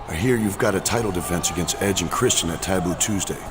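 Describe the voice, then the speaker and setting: A man playing an easygoing American wrestler in a video game, with a deep, low voice. His delivery is casual and understated, with noise in the background. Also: quick pace, natural voice. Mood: friendly. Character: easygoing, friendly male wrestler. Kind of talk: scripted video game cutscene dialogue.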